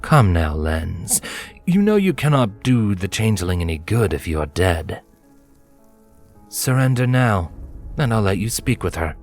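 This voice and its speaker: A man's voice: on the low side, sing-song, a little slow, a little mellow, faint music behind. A man voicing a smug, menacing bat-pony spy master who speaks in a purring, formal, condescending way in a fantasy story reading. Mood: cold threat.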